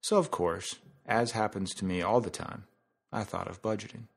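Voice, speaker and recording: A man's voice: on the low side, moderate pace, gravelly, slightly rough. A man hosting a podcast in a casual, conversational monologue, explaining things through analogies and asides. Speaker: podcast host.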